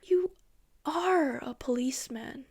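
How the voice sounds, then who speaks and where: A woman's voice: high voice, slow pace, darkish tone. Sneering rogue policeman, as a woman reads him. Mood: menacing. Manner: sneering, whining. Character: menacing rogue male policeman, bitter and self-pitying.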